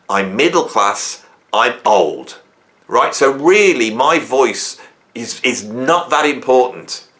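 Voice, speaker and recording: A man's voice: mid-range voice, fairly bright tone. A man in his fifties hosting a music channel, talking to camera in a rambling, self-questioning way and often tagging his points with "right?". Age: 50s. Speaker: YouTube music channel host. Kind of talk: reflective solo talk to camera.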